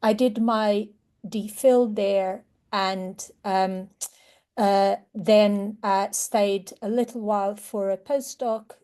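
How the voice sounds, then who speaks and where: A woman from Portugal talks through a laptop mic on a video call, in a mid-pitched voice. She speaks slowly and carefully, leaving small fillers between her thoughts. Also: steady tone, warm tone, clear, resonant tone. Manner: measured, with fillers. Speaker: researcher. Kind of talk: presentation talk.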